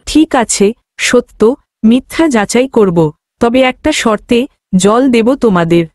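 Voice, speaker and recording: Fairy tale forest goddess played by a woman: commanding, just, somewhat high, cleanly dry. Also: lively intonation, unhurried, darkish tone, dry recording. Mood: commanding, fair. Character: stern but fair forest goddess. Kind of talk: fairy tale cartoon acting.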